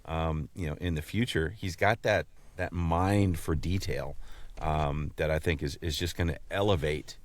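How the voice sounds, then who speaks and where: A man with a very low voice talks informally as a co-host, laying out his points in measured phrases. The recording is dry and close. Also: fairly even intonation, no room sound. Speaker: podcast co-host. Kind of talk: casual chat.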